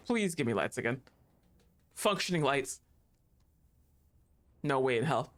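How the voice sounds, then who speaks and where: A man streams a game live and narrates every moment out loud. His voice sits a little high and leaps around constantly. He swears freely, laughs easily and yells at the game. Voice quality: excitable, profane, quick to laugh, talks to the game. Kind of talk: live gameplay commentary.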